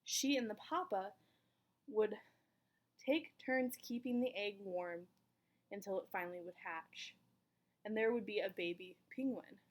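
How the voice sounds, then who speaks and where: A woman reading a children's picture book aloud in an expressive storytelling manner, with dramatic pauses. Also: medium pitch. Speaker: volunteer story reader.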